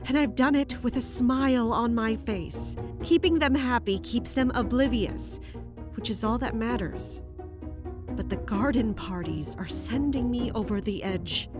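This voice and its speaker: A woman's voice: a little high, lightly acted. An actress playing a dutiful, exasperated female palace guard captain in an audio drama, speaking in a wry, formal way. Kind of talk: audio drama monologue and dialogue.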